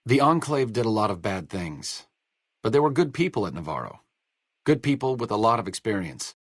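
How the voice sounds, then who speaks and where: A man's voice: lowish voice, big pitch swings, moderate pace, dry recording. A man playing a wry, bookish, self-deprecating doctor and researcher in a video game, with dry, erudite understatement. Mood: earnest. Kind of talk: video game character dialogue.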